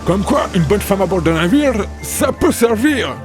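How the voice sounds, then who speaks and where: A man's voice: high voice, sing-song, normal speed. A man playing a hearty, jovial ship captain in an audio comedy adventure, speaking emphatically. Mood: hearty, grateful. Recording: voice under prominent music.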